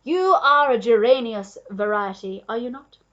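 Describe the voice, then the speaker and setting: A woman voicing a grand, exuberant, generous male Duke in a storybook, with loud, emphatic, aristocratic delivery. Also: high voice, big pitch swings, moderate pace, dull audio, voice pushed up, exaggerated voice.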